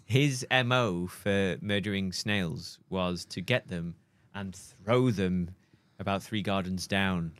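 A man's voice: sits low, takes it slowly, fairly bright tone, clear, resonant tone. A man in casual podcast banter, telling a rambling story with hesitations. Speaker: podcast co-host.

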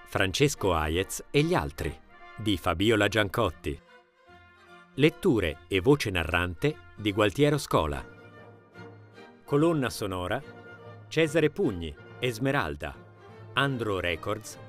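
A man narrating an audiobook from a script in a measured, theatrical reading manner. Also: on the low side, sing-song, soft background music.

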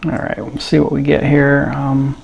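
A man giving a casual, hands-on radio review in a room at his desk, talking slowly and filling pauses with ums and uhs. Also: medium pitch, monotone, creaky, dull audio. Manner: unhurried, hesitant, many ums and uhs. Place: indoor room at a desk with gear. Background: radio noise and static at times.

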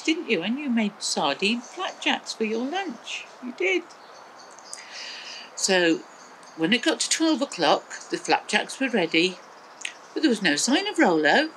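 Older English woman telling a lively children's story. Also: medium pitch, a little hiss or hum, rough recording. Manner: expressive, simple phrasing. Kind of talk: children's storytelling, talking to small listeners. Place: outdoors in a small back garden.

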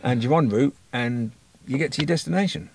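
A man's voice: mid-range voice, fairly quick, gravelly, a little hiss or hum. Wry British man chatting to camera. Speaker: vlogger. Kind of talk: casual vlog chat.

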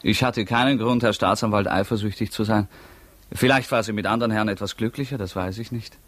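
A fairly low-pitched male prisoner in the manner of 1950s radio drama. He is stubborn and ironic, denying who he is. His courtesy always carries a provocation. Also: steady tone, fairly quick, fairly bright tone, no echo. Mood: brooding confession. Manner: ironic, insistent, polite but provocative. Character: defiant prisoner, a man denying his identity.

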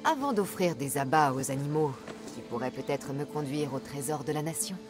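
Une actrice de doublage jouant une guerrière mercenaire posée et pince-sans-rire dans un jeu vidéo. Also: female voice, deep voice, animated, moderate pace, over music. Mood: composed, determined. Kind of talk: dubbed video game acting.

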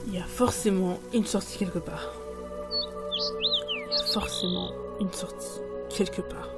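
A woman playing a sardonic, flustered editor trapped as a fantasy princess, halting, blunt and exasperated, in an audio drama. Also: mid-range voice, wildly swooping pitch, loud music behind the voice. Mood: sardonic, determined.

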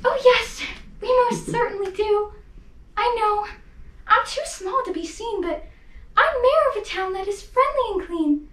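A woman voicing the tiny male mayor of a town on a dust speck, with a squeaky, earnest manner. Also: lively intonation, measured pace, fairly bright tone, full character voice. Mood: grateful. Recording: roomy echo.

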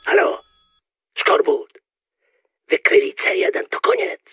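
A man playing a terse, agitated conspirator in an audio drama, with a husky, gravelly voice and clipped, secretive delivery. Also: slow, pushed and bright, no echo. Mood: alarmed, urgent. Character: terse, agitated male conspirator reporting in code. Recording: dull, lo-fi radio-like sound.

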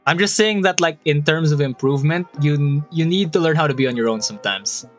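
A man's voice: a little high, fairly quick, faint music behind. A young man, hosting and reading viewer comments in a joking, casual chat, recorded on a desk mic in a small room. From Philippines. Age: young adult. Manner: joking, laughs often, animated hand gestures. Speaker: channel host reading comments. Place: small room with a curtain behind. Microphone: headset and desk mic.

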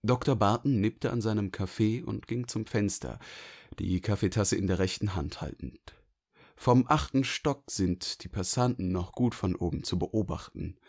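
Deeper male audiobook narrator. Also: moderate pace, darkish tone.

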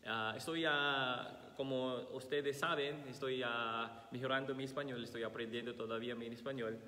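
A man in his thirties with a Filipino accent, a priest with a slight cold, reading the Gospel and preaching slowly with many pauses and "ah" fillers. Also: medium pitch, steady tone, pushed and bright, a little hiss or hum. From Philippines. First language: Tagalog. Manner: slow and halting, many "ah" fillers while finding words. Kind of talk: Gospel reading and homily at Mass. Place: large church, seated at altar. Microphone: fixed camera across the altar. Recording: echoey church with long reverb.

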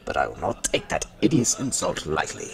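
A man voicing a proud, touchy alien mesomorph dignitary who is quick to take offence, with party chatter behind him. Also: low-pitched, big pitch swings, creaky, full character voice. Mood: threatening. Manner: haughty, easily offended.

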